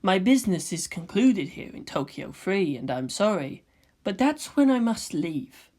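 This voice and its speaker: Woman doing a calm polite teen boy, soft and measured, fanfic story voice. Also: lowish voice. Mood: calm, gently courteous. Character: pale, serene, courteous teenage boy. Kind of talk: character voice in fan fiction reading.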